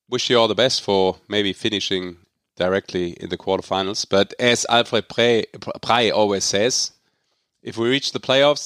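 Male podcast host, native German, mid-range voice, relaxed sports-show chat, very dry studio sound. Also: medium pitch, dry recording. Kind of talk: casual sports podcast hosting.

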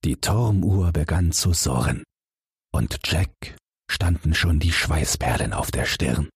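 A man narrating a bedtime fairy tale in a deep, breathy voice, unhurried and full of melodic rises and falls. He speaks directly to his listeners in a dry, close studio sound. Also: no echo. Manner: calm, expressive storytelling, addressing listeners directly. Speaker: audiobook narrator.

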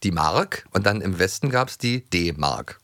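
A man's voice: low-pitched, animated, unhurried, a bit bright, no room sound, studio quality. A middle-aged man from East Germany co-hosting a podcast, chatting playfully and informally in an anecdotal way. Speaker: podcast co-host. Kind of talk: casual podcast chat. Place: podcast studio.

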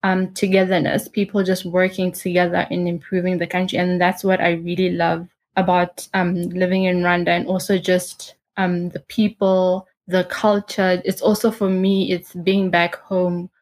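Woman, hotel marketing manager, low flat delivery, steady with ums. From South Africa. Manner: steady, measured, with frequent ums. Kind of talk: casual interview answers.

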